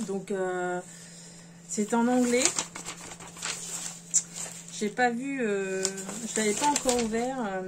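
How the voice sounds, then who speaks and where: A woman chatting casually to camera about her sewing, rambling and hesitant, with many fillers and restarts. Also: mid-range voice. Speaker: sewing vlogger. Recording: noisy home recording.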